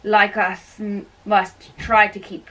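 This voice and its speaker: A woman doing an amateur dramatic reading of a play, haltingly, often repeating and correcting her lines. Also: mid-range voice, level tone, bright, forceful tone, recorded from a distance, poor audio.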